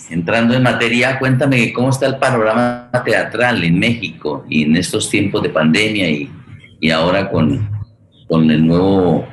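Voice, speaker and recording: A man with a Colombian accent hosting a conversational forum, halting and correcting himself as he goes. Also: low voice, reverberant, slight background noise, low-quality recording. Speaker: host and radio theatre director.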